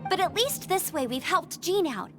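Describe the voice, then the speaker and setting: A woman's voice: extremely high pitch, expressive, moderate pace, music in the background. A woman playing a perky, eager young female scout knight in a video game, with an earnest, chatty, emphatic delivery. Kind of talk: animated video game voice acting.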